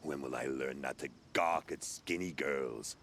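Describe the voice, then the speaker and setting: A low-pitched, grumbling mercenary leader from a video game. He is sardonic and bitter, with colourful, folksy complaints, over clear background noise. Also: unhurried, a bit bright, exaggerated voice. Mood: bitter, rueful. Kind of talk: video game cutscene dialogue acting.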